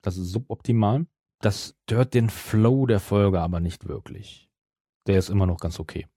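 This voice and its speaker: A middle-aged man, co-hosting a casual review podcast in a measured, qualifying manner. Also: fairly low pitch, steady tone, mellow, breathy edge, no room sound, clean studio sound. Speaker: podcast co-host.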